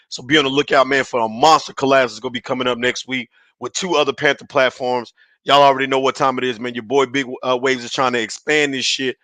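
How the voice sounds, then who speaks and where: A man hosting a casual sports podcast, his voice raspy and hoarse from yelling, talking in a hyped, slangy way on a headset mic. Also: medium pitch, dry recording. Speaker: podcast host.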